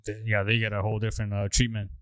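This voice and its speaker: Fast-talking low-voiced young man. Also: monotone, a bit bright, clean tone, dry recording. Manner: quick, agreeable, chimes in with short replies. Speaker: podcast co-host. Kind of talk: casual chat.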